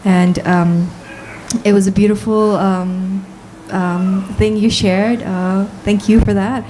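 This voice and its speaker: A woman tells her story at a church service in a soft, hesitant way, pausing often. Her voice is mellow and even, with little rise and fall. Faint ambient noise can be heard behind her. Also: mid-range voice, low-quality recording. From Nepal. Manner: soft, hesitant, with many pauses. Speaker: churchgoer giving testimony. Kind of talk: personal testimony.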